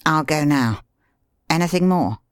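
A man's voice: on the high side, lively intonation, a little slow, clear, resonant tone, no room sound. Loyal, eager doctor friend, read by a man, earnest and a little raised; dry sound.

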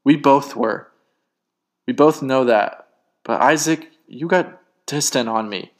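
A man reads the part of a wounded girlfriend in a dramatic story. She presses and pleads, accusing, in his own mid-range pitch. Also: lively intonation, a little fast, natural voice. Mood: hurt, pleading. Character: hurt, pressing young woman confronting her boyfriend.

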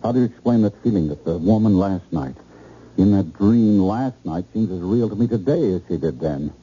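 A man's voice: low-pitched, expressive, normal speed, husky. An actor playing an earnest, haunted, obsessed man in old-time radio drama, hesitant and stammering with intensity. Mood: agitated, awed. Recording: muffled, noisy old radio recording.

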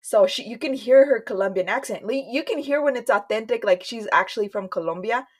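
A woman's voice: a little high, lively intonation, fairly quick. A woman co-hosting a podcast in casual chat, mixing English and Spanish with occasional hesitant fillers. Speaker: podcast co-host.

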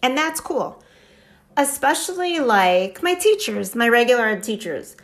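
A woman's voice: on the high side, very animated, unhurried, a bit bright. A woman giving a casual motivational talk, chatty and enthusiastic, full of "like" and "right?". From Wisconsin. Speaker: podcast host.

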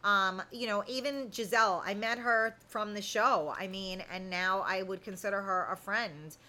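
A woman's voice: mid-range voice, steady tone, normal speed, bright, forceful tone. A woman in her fifties hosting a casual live chat, talking to viewers in a candid, blunt, colloquial way. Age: 50s. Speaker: host of a live chat show.

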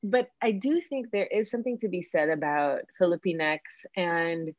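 A woman's voice: on the low side, normal speed, dry recording. A woman, a Tagalog speaker. She thinks aloud casually and modestly in a reflective discussion. The audio is dry and a little dull. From born in the Philippines, moved to the United States at ten. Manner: informal, self-deprecating, checks in with "you know".

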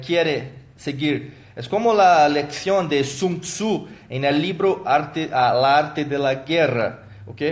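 A man whose first language is Portuguese, hosting a live stream as a motivational coach. He talks in a halting way, with many fillers and restarts, and thanks viewers by name. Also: on the high side.

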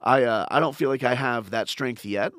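A man who hosts a comedy podcast, telling stories in animated casual chat and acting out crowd voices. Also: mid-range voice, moderate pace, dry recording, studio quality. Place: podcast studio.

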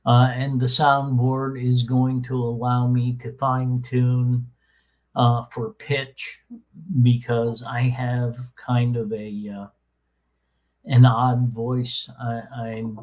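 Slow, flat-voiced man with halting speech. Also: poor audio. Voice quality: voice between baritone and tenor. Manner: halting, many fillers and restarts. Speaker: podcast host. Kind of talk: casual explanatory talk. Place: small room with soundproofing under way.

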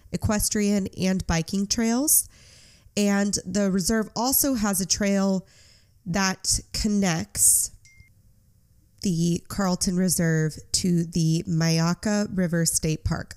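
A woman's voice: lowish voice, darkish tone, clean tone. A young woman hosting a true crime podcast, sounding congested from a cold, chatty and earnest. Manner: chatty, earnest, apologetic asides. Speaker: podcast host.

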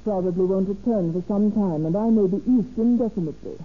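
A woman's voice: medium pitch, brisk, lightly acted. Actress doing a polite businesslike landlady, old thirties radio play, thin phone-like sound. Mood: gracious, businesslike. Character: brisk, polite landlady handing over her house. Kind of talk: 1930s radio acting. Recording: tinny old radio recording, faint music.

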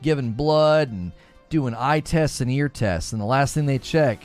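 A man's voice: medium pitch, unhurried, darkish tone, faint music behind. A man hosting a casual livestream, chatting with his brother and telling rambling stories. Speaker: streamer and show host.

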